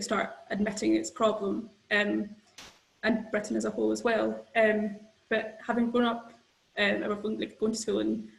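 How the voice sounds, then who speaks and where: A woman moderating a panel discussion, asking questions in a hesitant way with many pauses. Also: mid-range voice, creaky, echoey room, rough recording. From Scotland.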